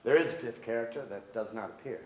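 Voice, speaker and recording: An actor playing a wry, poetic man narrating his family's story in a theatrical stage monologue with deliberate pauses. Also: medium pitch, darkish tone, lightly acted. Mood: wry, wistful. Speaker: actor in a staged play reading. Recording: echoey theater stage, noticeable noise.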